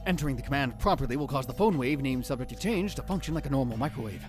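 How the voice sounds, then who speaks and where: A man's voice: medium pitch, rapid-fire, close to an everyday voice. A man voicing a dramatic young self-proclaimed mad scientist in a visual novel fan dub, theatrical and grandiose in manner. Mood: musing, narrating. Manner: theatrical, grandiose, swinging into excited exclamations. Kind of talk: visual novel fan dub, first-person narration and dialogue. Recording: music behind the voice. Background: music.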